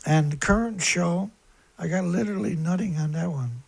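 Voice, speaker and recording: A man with a squeaky voice hosting a live stream in a rambling, blunt, aggrieved and emphatic monologue that often repeats itself. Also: expressive, moderate pace, gravelly. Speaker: live stream host, environmental activist.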